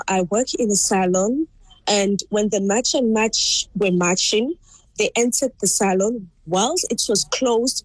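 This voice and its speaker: Husky-voiced woman, earnest. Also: mid-range voice, dry recording, poor audio. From South Africa. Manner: earnest, repetitive. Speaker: interview guest, salon worker. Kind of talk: remote news interview. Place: remote link.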